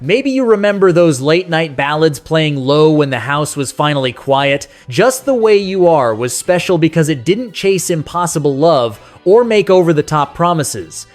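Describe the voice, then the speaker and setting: A man's voice: fairly high pitch, animated, moderate pace, few pauses. A man narrating a scripted nostalgic music countdown as host, speaking to the listener in a conversational, sentimental way.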